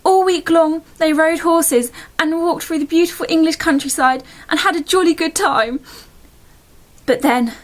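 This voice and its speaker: A woman's voice: extremely high pitch, normal speed. A woman narrating a bedtime story in a playful, mock-solemn storybook manner.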